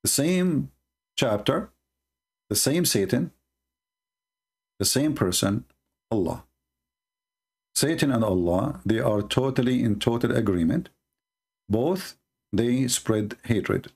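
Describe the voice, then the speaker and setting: A man's voice: medium pitch, expressive, slow, long pauses, a little mellow, slightly rough. A man whose first language is Arabic, hosting a heated debate and reading from texts on screen in a mocking, combative way. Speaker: debate host. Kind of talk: screen-share debate commentary.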